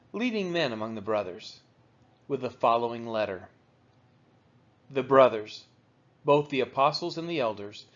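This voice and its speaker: A man reading the Bible aloud as measured narration, with deliberate, emphatic phrasing. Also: mid-range voice. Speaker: Bible reader. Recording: dull, lo-fi recording.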